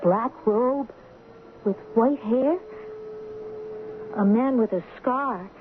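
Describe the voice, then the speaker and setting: An actress playing a dreamy, wide-eyed young heroine in a radio drama, speaking with entranced wonder. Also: female voice, on the high side, extremely slow. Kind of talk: 1970s radio drama acting, narrating a vision. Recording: lo-fi old radio broadcast, clear noise.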